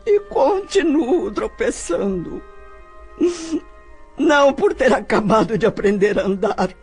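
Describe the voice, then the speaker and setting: An actress playing an ailing, grieving older woman in an audio drama, halting and tearful as she pines for her father. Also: a little high, animated, darkish tone, slightly rough, faint music behind, heavily acted. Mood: anguished. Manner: halting, tearful, sighing delivery. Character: sick, grieving elderly daughter calling for her dead father.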